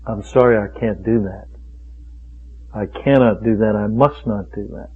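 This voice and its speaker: A man's voice: lowish voice, moderate pace, husky. A man preaching a fiery sermon, emphatic and rhetorical, driving points home with repeated phrases. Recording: tinny phone-like radio recording.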